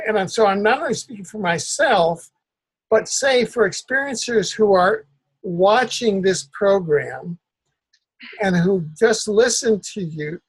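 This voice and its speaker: Older guy hosting an interview, really slow and deliberate, kinda high voice. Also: slightly rough, low-quality recording. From Cuba. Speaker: interview host. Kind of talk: conversational interview.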